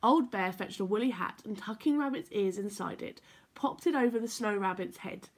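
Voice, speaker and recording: A woman reading a children's story aloud in an expressive, playful, emphatic way. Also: medium pitch, moderate pace, husky. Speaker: story time reader for children.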